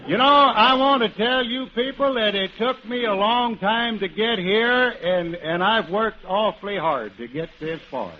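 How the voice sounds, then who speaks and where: A man giving a mock-earnest comic monologue on live radio, with halting restarts. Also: high-pitched, a little hiss or hum. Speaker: radio comedian. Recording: lo-fi 1930s radio broadcast.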